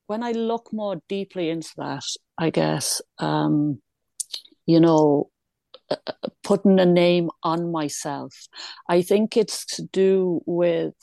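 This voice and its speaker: A woman's voice: on the low side, vocal fry, warm tone, dry recording. A woman born in England, now living in Ireland, answering interview questions in a reflective, hesitant way with many restarts and small laughs. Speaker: guest.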